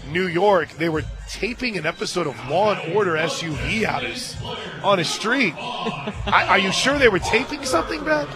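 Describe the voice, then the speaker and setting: An American man doing live radio play-by-play of softball from a stadium booth, mixing casual banter with animated calls of the action. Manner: animated and shouting on big plays, conversational between pitches. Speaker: play-by-play announcer.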